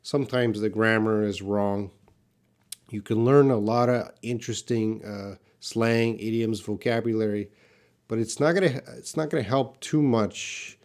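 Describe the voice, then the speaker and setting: A man hosting a live English lesson, answering learner questions in a deliberate, emphatic way and repeating key points. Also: fairly low pitch, darkish tone. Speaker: English teacher, live Q&A host.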